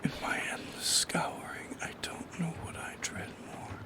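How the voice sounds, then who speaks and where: An ASMR performer voicing a lonely, wistful shadow creature that haunts at night, musing slowly and hesitantly in a soft, breathy whisper. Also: natural voice. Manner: slow, hesitant, philosophical musing. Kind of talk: soft-spoken ASMR monologue.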